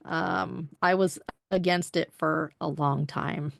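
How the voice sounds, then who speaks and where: A woman's voice: low voice, fairly even intonation, slow pace, creaky, no room sound, studio quality. Easygoing woman podcast co-host. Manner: easygoing, frequent agreeing and fillers. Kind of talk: casual chat.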